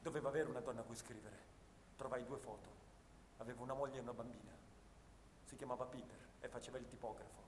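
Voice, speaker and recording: A man's voice: mid-range voice, fairly even intonation, fast, natural voice. An actor playing a young First World War soldier, anguished and tender, telling his war memories as an intense theatrical monologue. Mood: grieving, remorseful. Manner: intense, dramatic storytelling. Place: live radio theatre performance in a hall. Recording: echoey theatre hall, noisy distant recording.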